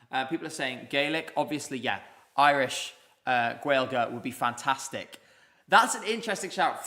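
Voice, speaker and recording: Male stream host, bright forceful voice, loose chatty delivery answering viewer questions. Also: mid-range voice. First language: English. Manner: rambling, chatty, many ums. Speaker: livestream host. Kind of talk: casual live chat reading viewer comments. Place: indoors, streaming from Basel.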